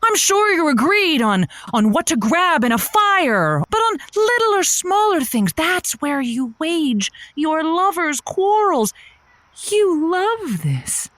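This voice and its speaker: A woman's voice: extremely high pitch, sing-song, takes it slowly, fairly bright tone, no echo, clean studio sound, exaggerated voice. A woman playing a chatty radio call-in host in an audio comedy drama, a coaxing, exasperated peacemaker with an emphatic way of talking. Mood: delighted, knowing.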